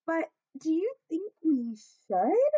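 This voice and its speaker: Storybook role: small, helpful toy, performed by a woman, high voice, earnest and reassuring, lively delivery. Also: slow pace, no echo, highs cut off, full character voice. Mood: eager, unsure.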